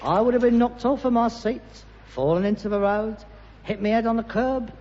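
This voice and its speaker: A man's voice: sits high, normal speed, long reverb tail. An actor playing a put-upon, sarcastic rag-and-bone man with a London accent and grandiose airs in a 1960s British radio sitcom. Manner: theatrical, sarcastic, self-consciously grandiose. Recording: dull noisy archive recording, studio audience.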